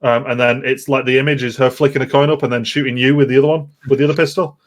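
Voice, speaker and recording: A man in a casual chat, explaining things in a halting, rambling way. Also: medium pitch, little pitch movement. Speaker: podcast host.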